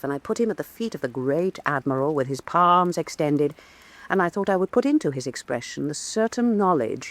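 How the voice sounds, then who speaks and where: An actress playing a proud, fierce, middle-aged woman painter and mother, sharp and scornful in a stage radio drama. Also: lowish voice, no echo.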